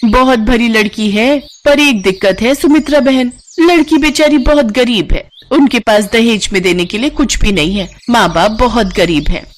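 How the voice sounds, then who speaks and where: Casting: female narrator as friendly village matchmaking neighbour, chatty and persuasive, raised pitch, for an animated tale. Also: moderate pace, hardly any pauses, close to an everyday voice.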